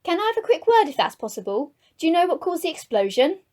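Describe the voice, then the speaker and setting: Woman playing a high-voiced, lively news reporter. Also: fast talker. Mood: composed, earnest. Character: earnest female TV news reporter on the scene. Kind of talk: mock outside-broadcast news report in radio play.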